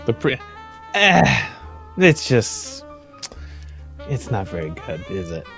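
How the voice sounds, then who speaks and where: A young man talks casually in a medium-pitched voice. The delivery is conversational and informal, with music faintly audible behind him. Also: sing-song, slow, gravelly, fairly bright tone. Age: young adult. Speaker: show cast member. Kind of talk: casual chat.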